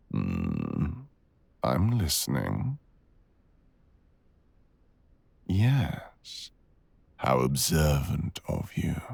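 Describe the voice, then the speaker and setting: A man playing a cruel, seductive dragon villain in intimate ASMR roleplay, with a gravelly, husky growl and a slow, teasing drawl. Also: rumbling low, no room sound, full character voice. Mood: amused, taunting.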